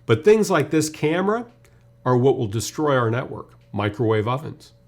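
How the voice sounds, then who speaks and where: A man in his fifties with a mid-pitched voice presents a technical demonstration. He speaks conversationally and informally, walking the listener through each step as a webinar presenter would. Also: very animated, moderate pace. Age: 50s. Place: indoor video studio.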